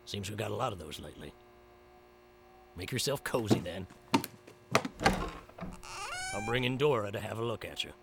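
An actor playing a gruff but kindly rustic frontiersman in an audio drama, talking in a folksy, slangy drawl. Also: on the low side, lively intonation, moderate pace, pauses often. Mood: easygoing, friendly. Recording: loud background hum and noise.

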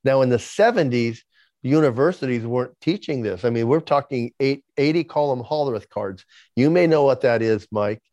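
A man in his sixties with a noticeable lisp tells his story at length in an interview, stumbling over his first words before finding his thread. The recording is dry and slightly muffled, with little high end. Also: moderate pace, a little mellow, no room sound. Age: 60s. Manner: repeats words when starting sentences. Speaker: podcast guest.

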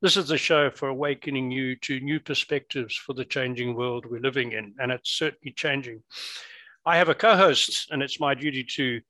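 A man from Canada hosting an interview podcast, opening the show with measured, conversational introductions. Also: medium pitch, pushed and bright, no echo. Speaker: podcast host.

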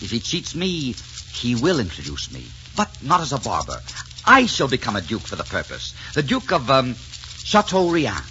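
An actor playing a suave, daring French barber who poses as a duke, gallant, teasing and theatrical in 1940s radio drama style. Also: male voice, medium pitch, wildly swooping pitch, moderate pace, pushed and bright, a little hiss or hum. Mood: playful, bold. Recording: dull 1940s radio recording with music.

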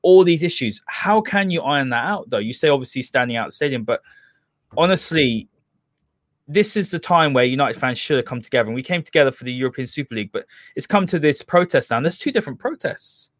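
Man, London accent, mid-pitched voice, dry recording, football podcast host, casual, opinionated chat. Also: animated, quick pace, dry recording. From London area, England.

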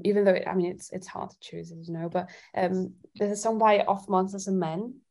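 A woman's voice: medium pitch, gravelly, dark tone. A woman from Italy, a guest singer-songwriter chatting casually in a giggly, thoughtful way. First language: Italian. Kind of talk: casual chat.

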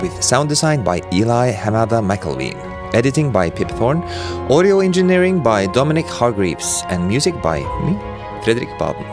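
A man giving an informal, slightly unsure podcast outro and reading credits in a chatty way. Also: medium pitch. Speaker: composer standing in as credits reader. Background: music.

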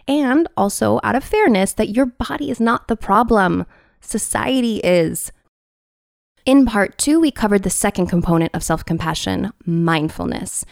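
A young adult woman hosting a podcast, chatty and casual, saying "like" a lot, slightly raspy from a cold. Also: lowish voice, darkish tone, no echo, clean studio sound. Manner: chatty, uses "like" a lot, self-deprecating. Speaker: podcast host.

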